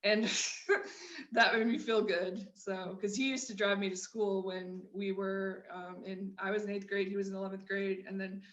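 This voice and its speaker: A middle-aged woman with a flat Midwestern accent shares her story as a podcast guest. She talks candidly and loosely, restarting her sentences often. Her voice has a roomy echo around it. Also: medium pitch, moderate pace, dull audio. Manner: candid, rambling, with frequent restarts and laughs. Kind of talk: personal storytelling in a conversation.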